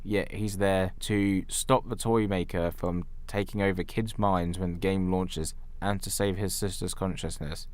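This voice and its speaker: Deep-voiced man griping casually about films. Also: fairly even intonation, unhurried, hardly any pauses, darkish tone. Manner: blunt, incredulous, complaining, sweary. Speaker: podcast co-host. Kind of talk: casual film chat.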